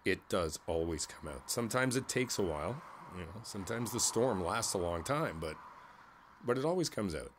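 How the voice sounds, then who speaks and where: Older male neighbor played by a man, lowish voice, easygoing and philosophical, unhurried folksy pauses, reassuring one-on-one ASMR roleplay. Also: expressive, slight background noise, natural voice. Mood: concerned, reassuring. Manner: folksy, rambling, pausing, chuckling between thoughts. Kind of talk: ASMR roleplay, one-sided conversational monologue.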